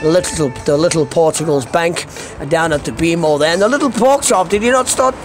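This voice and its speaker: A man's voice: fairly high pitch, fairly quick. A Scottish man hosting a comic monologue, speaking in a theatrical, foul-mouthed way full of colourful slang. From Scotland. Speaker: podcast host. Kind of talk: comic monologue rant to listeners. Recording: music under the voice. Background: music.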